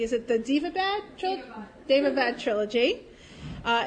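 A woman's voice: sits high, a little hiss or hum. A woman hosting a live reading event, introducing the next reader in an informal, meandering way. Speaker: reading series host. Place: reading venue with an audience.

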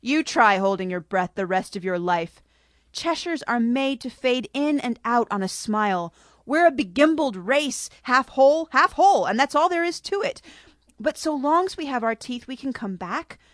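A woman voicing a small female pygmy marmoset, shrill, sobbing and desperate. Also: lively intonation, moderate pace, no room sound, studio quality. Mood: insistent.

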